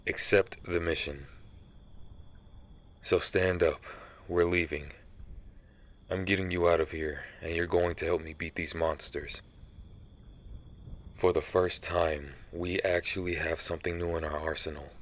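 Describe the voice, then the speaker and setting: A man playing a centuries-old vampire hunter in an ASMR audio roleplay, somber, guilt-ridden and resolute, confessing haltingly. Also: deep voice, steady tone, fairly bright tone. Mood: urgent, resolute.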